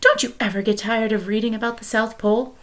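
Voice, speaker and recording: A woman's voice: fairly high pitch, steady tone, fast, lightly acted. A woman reading aloud and voicing a fussy, worried middle-aged housewife who speaks sharply and fretfully. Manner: sharp, fretful, shocked exclamations.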